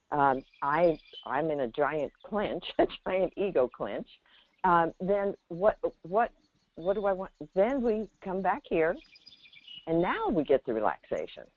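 Woman, thin telephone sound, lowish voice, very varied intonation, conversational spiritual guidance, emphatic halting phrases. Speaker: spiritual teacher on a call-in show. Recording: thin, narrow phone-line sound.

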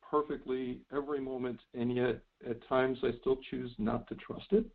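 Middle-aged male caller, creaky voice, thin telephone sound, reflective and halting with light amusement. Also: medium pitch, steady tone. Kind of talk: reflective personal sharing on a call. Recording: thin phone line.

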